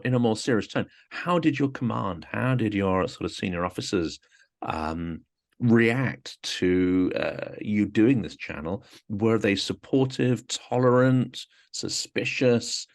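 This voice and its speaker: A man hosting an interview in a relaxed, chatty way, often saying "you know". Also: on the low side, takes it slowly. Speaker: host. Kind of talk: casual interview chat.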